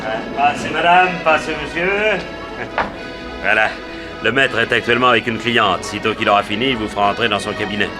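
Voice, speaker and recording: An actor playing a bombastic fairground barker in a radio drama, declaiming grandiose sales patter to passers-by. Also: male voice, lowish voice, brisk, lightly acted. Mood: obliging, polite. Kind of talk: French radio drama acting. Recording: loud music behind the voice.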